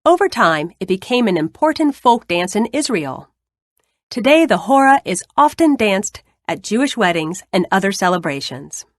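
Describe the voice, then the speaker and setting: A woman's voice: medium pitch, very animated, moderate pace, no echo, clean studio sound. A woman reading scripted educational narration for young children. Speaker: narrator of children's read-aloud.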